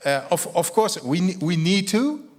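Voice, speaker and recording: Halting Dutch-accented man presenting. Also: high voice, sing-song. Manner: halting, repeats words. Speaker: conference speaker. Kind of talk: technical presentation and audience Q&A.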